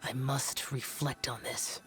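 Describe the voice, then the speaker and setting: Low-key, solemn ancient yaksha warrior. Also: male voice, level tone, slow pace, a bit bright. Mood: solemn, brooding. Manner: formal, solemn, clipped. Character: stoic ancient male yaksha warrior, youthful, aloof, weary. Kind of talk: English video game voice acting. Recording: game audio with music behind.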